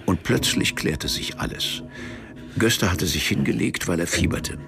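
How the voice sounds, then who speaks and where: A man narrating a literary radio drama in a measured, detached and deliberate manner. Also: sits low, lively intonation, vocal fry. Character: detached literary narrator of a drugged man's ordeal. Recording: music under the voice.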